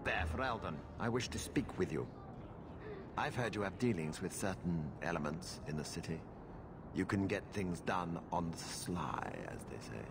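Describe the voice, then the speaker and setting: Deep-voiced pompous old magistrate. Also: male voice, lively intonation, moderate pace, music in the background. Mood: imperious. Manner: pompous, imperious. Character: haughty old male city magistrate, evasive and commanding. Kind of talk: video game dialogue. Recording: noisy ambient background.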